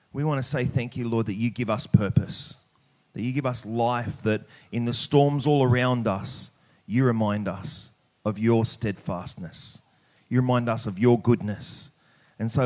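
A man's voice: medium pitch, darkish tone. Australian man preaching in a conversational way. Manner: conversational, informal, plain-spoken. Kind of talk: church sermon with prayer, scripture reading and casual anecdotes.

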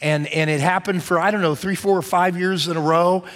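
A pastor delivers his sermon in a slightly higher-than-average man's voice. He speaks conversationally and drives his points home by repeating key phrases. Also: steady tone, a little fast, fairly bright tone. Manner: conversational preaching with emphatic repetition.

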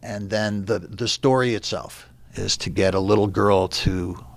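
A man who is a stage actor, chatting casually in an interview with halting, repeated words. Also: lowish voice, steady tone, vocal fry, no echo. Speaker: stage actor playing Santa.

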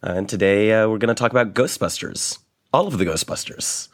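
Low-voiced young man, tired hesitant host. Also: brisk. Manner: hesitant, many ums and restarts. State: voice worn after a late party. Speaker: podcast host. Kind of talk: casual podcast chat.

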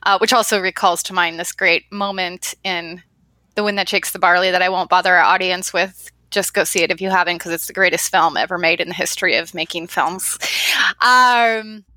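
Bright, chatty woman podcast host. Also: on the low side, steady tone, normal speed, dry recording, poor audio. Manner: enthusiastic, chatty, playful asides. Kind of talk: casual interview chat.

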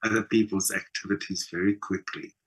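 South african guy, middle-aged, lowish husky voice, casual storytelling in an interview. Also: steady tone, a little fast. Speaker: interview guest; skills trainer.

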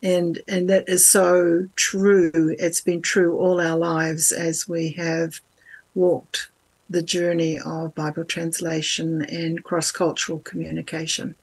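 An older woman from New Zealand with a mixed international accent, speaking as a podcast guest in a measured, earnest way. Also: on the low side, monotone, breathy edge. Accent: international, not typically Kiwi. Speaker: guest, Bible translator. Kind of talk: interview answers.

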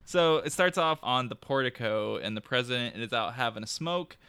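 Bright, clear-voiced casual male podcaster. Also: mid-range voice, animated, normal speed, no room sound. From New York state. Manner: chatty, laughs often. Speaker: podcast host. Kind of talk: casual chat recapping a TV episode.

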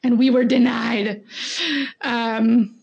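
High-voiced young woman, slow and gushing. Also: flat delivery, vocal fry, breathy edge, lo-fi sound. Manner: gushing, effusive fan talk. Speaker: podcast guest. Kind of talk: casual podcast chat.

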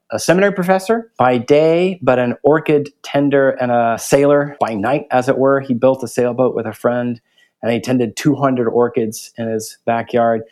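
A man's voice: medium pitch, a little mellow. A middle-aged man from Guatemala, a theology professor, talking thoughtfully about his life in an interview. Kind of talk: interview conversation.

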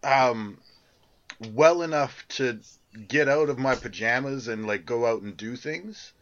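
A man rambles through a crude story, swearing freely with halting restarts, as a co-host of a casual podcast. His voice sits at an ordinary pitch. The recording is dull and lo-fi. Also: a bit bright. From Canada. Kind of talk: casual chat.

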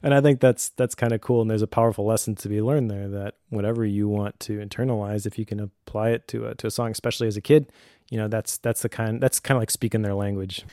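A man's voice: fairly low pitch, steady tone, fast talker, mellow, dry recording. Casual man explaining things, dry close sound. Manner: conversational, explanatory. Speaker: co-host, homeschooling father. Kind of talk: casual advice chat.

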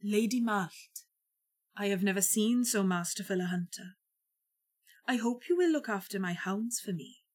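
A woman's voice: mid-range voice, close to an everyday voice. Woman voicing a stately, courteous otherworld lord. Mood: gracious. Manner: measured, solemn, gracious.

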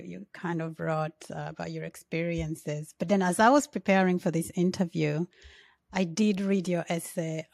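A woman with a slightly low voice hosts an interview. She speaks in a halting, conversational way and often restarts and corrects herself. Her voice is close and dry, with no room echo. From Uganda. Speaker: interview host.

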